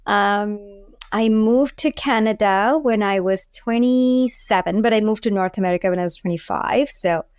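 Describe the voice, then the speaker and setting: A woman's voice: medium pitch, moderate pace, a little mellow, clear, resonant tone, no echo. Indian-accented woman chatting in a dry room. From Delhi, India. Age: 40s. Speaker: podcast guest, food entrepreneur. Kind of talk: casual interview chat.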